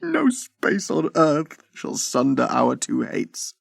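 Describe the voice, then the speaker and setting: An actor playing a bitter, vengeful young prince with impassioned declamation in a Shakespearean audio drama. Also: male voice, fairly high pitch, wildly swooping pitch, slow, creaky, soft background music, exaggerated voice. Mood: vengeful fury.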